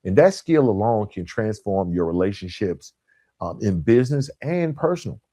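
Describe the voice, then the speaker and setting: Male podcast host, lowish register, close dry sound. Motivational coach delivery, emphatic and direct, repeating key phrases. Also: very animated, moderate pace, dark tone, no echo. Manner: direct, addresses listeners informally.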